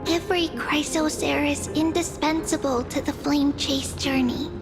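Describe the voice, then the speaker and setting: A woman voicing a serene, solemn guide in a video game, speaking formally and with measured care. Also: high voice, steady tone, over music, rough recording. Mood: solemn encouragement. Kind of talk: video game story dialogue.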